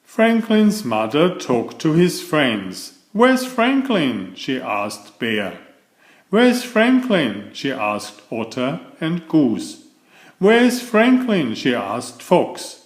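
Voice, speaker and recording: Male picture-book narrator, unhurried and animated, expressive bedtime delivery, roomy echoing sound. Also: mid-range voice. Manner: expressive, deliberate, simple storybook delivery. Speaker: storyteller reading a picture book. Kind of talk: bedtime story read-aloud. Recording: echoey room sound.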